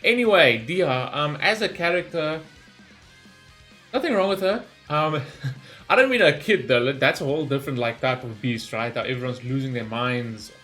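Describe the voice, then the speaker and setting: A man giving casual, excitable and rambling reaction commentary as host of a gaming video. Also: medium pitch, normal speed, faint music behind.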